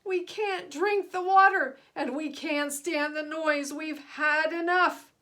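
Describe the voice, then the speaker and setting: Woman voicing excitable storybook alien, high-pitched, loud, shouting, emphatic. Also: slow, exaggerated voice. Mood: fed up. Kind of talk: children's storybook character voice.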